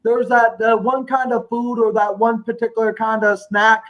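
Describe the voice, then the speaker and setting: A man with a high voice who stutters, stalls on "uh" and repeats words as he talks through his thoughts as a stream guest. The audio is dull and lo-fi. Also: completely flat delivery, a bit bright. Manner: stuttering, halting, repeats words, many uh fillers. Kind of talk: casual live stream chat.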